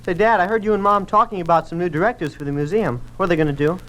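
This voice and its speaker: A woman acting an earnest schoolboy in an old radio play. The boy sounds eager and a little puzzled, pitched somewhat low for a female voice. There is light recording noise. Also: rapid-fire, lightly acted. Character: earnest, confused schoolboy son. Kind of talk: mid-century radio drama acting.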